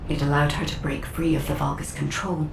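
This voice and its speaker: An actress playing a serene, gently instructive AI guide in a video game, soft, measured and formal. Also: female voice, flat delivery, creaky. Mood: calm, reassuring. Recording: echoey, distant, faint rumble behind.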